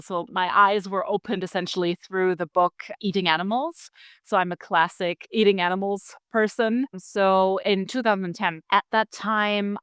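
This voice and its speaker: A woman's voice: mid-range voice, smooth, no room sound, clean studio sound. A woman in her thirties with a German accent, a guest talking casually in an interview, full of "like" and "you know". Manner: chatty, many fillers like "like" and "you know".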